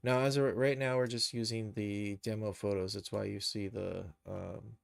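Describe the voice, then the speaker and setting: A man hosting a casual, unscripted software tutorial, thinking aloud as he explains each step. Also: fairly low pitch, steady tone, moderate pace, gravelly, no echo. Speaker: live stream tutorial host, game and cartoon developer.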